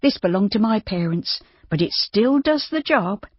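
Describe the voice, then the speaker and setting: A warm-hearted, bustling farmer's wife who fusses over her guests, played by a storybook reader. She talks in a raised, brisk voice with a breathy edge. The sound is dry and a little dull. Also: mid-range voice, lively intonation, no echo, pitched above the performer's own voice. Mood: motherly, brisk.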